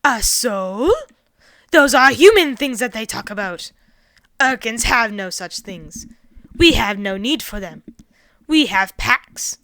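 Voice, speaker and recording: A woman voicing a loud, arrogant male alien invader in a story, indignant and excitable, given to bombastic shouting. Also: on the high side, takes it slowly, no echo, pitched above the performer's own voice, heavily acted. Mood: scornful. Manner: shouting, bombastic, refers to himself in third person.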